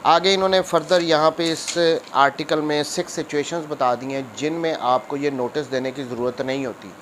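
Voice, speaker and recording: Pakistani guy explaining law, reads the clauses out slow then rattles off the explanation, highish voice, bit of faint noise behind. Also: fairly even intonation, fairly bright tone. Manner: reads the statute slowly and deliberately, then explains it briskly. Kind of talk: lecture on legal statute.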